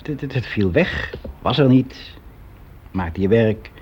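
An actor playing a weary, resigned office clerk around sixty, confiding in a halting, reflective way in a naturalistic radio play. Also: male voice, mid-range voice, lively intonation. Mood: weary, complaining. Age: 60s. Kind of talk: naturalistic radio drama monologue. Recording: dull, noisy radio play recording.

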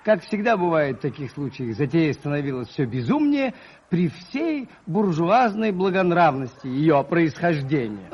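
A mild, thoughtful priest narrating a radio mystery. The voice is somewhat high with lively intonation, and the old recording is slightly dull. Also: male voice, normal speed. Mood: reflective, wry. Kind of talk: reflective radio drama narration. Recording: dull, faintly noisy 1980s radio recording.

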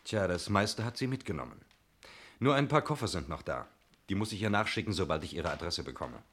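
An actor in a 1960s German radio play, playing a breezy, talkative stranger who is glib, jovial and evasively polite. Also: male voice, deep voice, sing-song, brisk, a bit bright, heavily acted. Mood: smoothly explaining. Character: breezy, talkative male stranger claiming to own the chalet.

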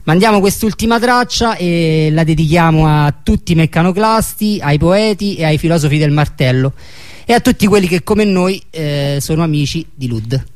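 A man hosting a radio show, speaking in a halting way and repeating phrases as he reasons aloud. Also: a little high. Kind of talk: hesitant spoken radio commentary and sign-off.

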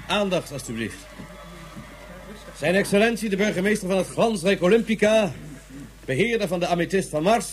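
A man's voice: high-pitched, natural voice. A man playing a pompous ceremonial chairman in a science fiction radio drama, declaiming formally.

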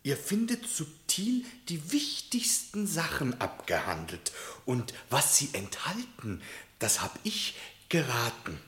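An actor playing a sly, fawning ram messenger in a German radio play. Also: male voice, fairly high pitch, very animated, takes it slowly, fairly bright tone, full character voice. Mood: eager. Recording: echoey studio room.

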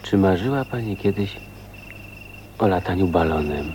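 An actor playing a calm, wistful man who speaks in an unhurried, understated way in a radio drama. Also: sits low. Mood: quietly dreamy. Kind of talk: radio drama acting, quiet dialogue. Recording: faint noise, 1980s radio drama.

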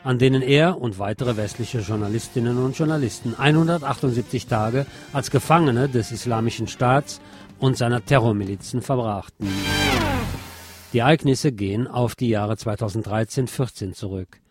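A man presenting scripted local news on the radio in a measured newsreader's delivery. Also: mid-range voice, faint music behind.

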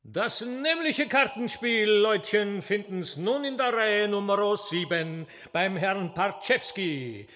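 High-voiced, mocking stage trickster. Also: male voice, expressive, sharp tone, exaggerated voice. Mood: gleeful showmanship. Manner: theatrical, teasing, drawn-out delivery. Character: mocking, showy male stage magician and trickster. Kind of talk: radio drama acting.

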